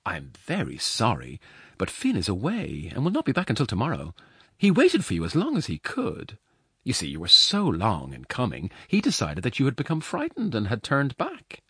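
A man voicing a calm, sly older foster mother in a storybook, chatting with unruffled mock innocence. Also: mid-range voice, moderate pace, slightly rough, no room sound, clean studio sound, voice pushed up, full character voice. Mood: coolly teasing.

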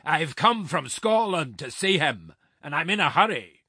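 A man's voice: on the high side, big pitch swings, measured pace, pushed and bright, breathy edge, no room sound, clean studio sound, pitched above the performer's own voice, full character voice. A man voicing a huge, ugly, blustering giant in a storybook, roaring and growling with impatience. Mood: gruff, impatient.

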